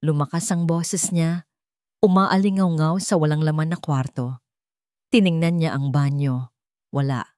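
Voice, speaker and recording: Woman, low voice, dry recording, dramatic story narration. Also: warm tone, dry recording.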